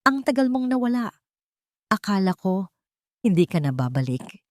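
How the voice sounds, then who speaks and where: A woman's voice: medium pitch, very animated, normal speed, a little mellow, no echo, studio quality, close to an everyday voice. A woman voicing an elderly, wealthy matriarch in a romance story, confused, wistful and emotional. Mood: tender, confused.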